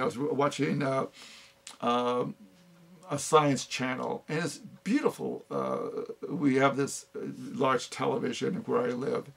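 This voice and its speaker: An older man giving an unscripted talk on philosophy, halting often with fillers and restarts. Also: mid-range voice, gravelly. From United States. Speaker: speaker on philosophy.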